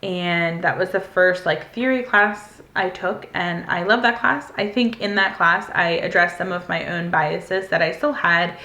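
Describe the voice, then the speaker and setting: Young adult woman, candid and rambling storyteller, lowish bright voice, reverberant room. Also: a little slow, hardly any pauses. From United States. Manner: candid, rambling, frequent "like" fillers and restarts. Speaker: vlogger. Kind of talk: casual personal storytelling. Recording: echoey room.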